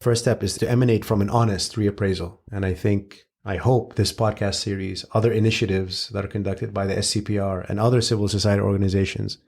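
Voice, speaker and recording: A man from Syria giving long, measured, analytical answers as a guest expert, with frank asides. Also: fairly low pitch, steady tone, creaky, a little mellow.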